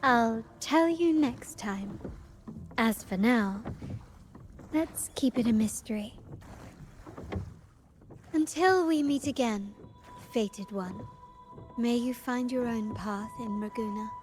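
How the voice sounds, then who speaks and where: An actress playing a mysterious, poised woman who speaks in cryptic, measured riddles in a game. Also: a little high, big-room sound, faint music behind. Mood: enigmatic. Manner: slow, measured, enigmatic. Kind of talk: game voice acting.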